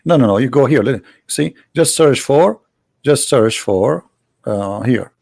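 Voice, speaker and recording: A man's voice: mid-range voice, animated, measured pace, gravelly, a little mellow, dry recording, clean studio sound. Insistent man, native Arabic speaker. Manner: insistent, interrupting, talks over the other. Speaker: debate host. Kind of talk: heated online debate.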